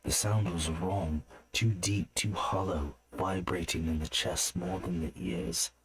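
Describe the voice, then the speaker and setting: A man's voice: sits low, expressive, measured pace, creaky, a bit bright. A man reading scripted fantasy story narration as a narrator.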